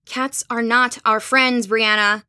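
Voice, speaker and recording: A woman's voice: fairly high pitch, fairly even intonation, takes it slowly, bright, forceful tone. A woman playing a studious, skeptical young roommate, speaking bluntly and dryly in an audio drama. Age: young adult. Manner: dry, matter-of-fact, challenging. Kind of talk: horror audio drama dialogue.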